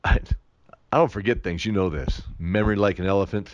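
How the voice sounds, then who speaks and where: Man playing a low-voiced, bumbling, forgetful producer. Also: fairly quick, dull audio, natural voice. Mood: distracted, breezy. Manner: bumbling, stammering. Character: absent-minded, overconfident show producer named Mike. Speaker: show producer and host. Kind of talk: scripted comic skit, conversational.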